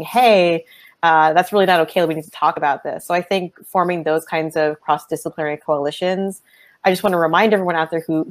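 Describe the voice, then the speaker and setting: A woman in her thirties, a professor on a panel, speaking earnestly and encouragingly over a video call. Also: low voice. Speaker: panelist, computer science professor. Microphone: video call from home.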